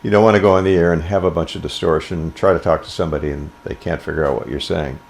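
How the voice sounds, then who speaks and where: Older american guy teaching a hobby tutorial, deep voice, takes his time and pauses a lot. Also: fairly even intonation, gravelly. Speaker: ham radio instructor. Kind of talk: informal explanatory tutorial.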